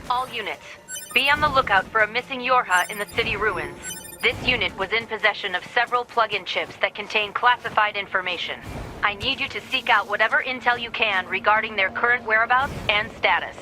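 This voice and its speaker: A woman's voice: mid-range voice, brisk, over music, close to an everyday voice, low-quality recording. Woman as a formal military radio operator. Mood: official. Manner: formal, clipped, instructive. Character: official, businesslike female android military operator. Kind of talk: video game military radio briefing.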